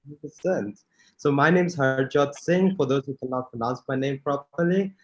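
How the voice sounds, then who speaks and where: A young man from Malawi co-hosting an online workshop, relaxed and friendly in casual talk. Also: mid-range voice, normal speed, muffled recording. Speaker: co-host. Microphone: video call.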